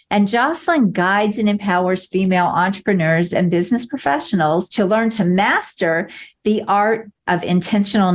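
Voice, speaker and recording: A woman hosting a business podcast, upbeat and enthusiastic as she introduces her guest. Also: mid-range voice. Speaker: podcast host.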